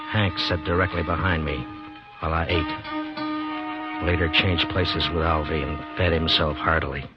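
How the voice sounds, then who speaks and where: An American man playing a stoic, weary marshal in a 1950s radio western, grim and deliberate, on a dull old recording. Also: low-pitched, heavily acted. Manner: grim, deliberate, hard-boiled. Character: stoic, weary male frontier marshal narrating his ordeal. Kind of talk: 1950s radio drama narration and acting.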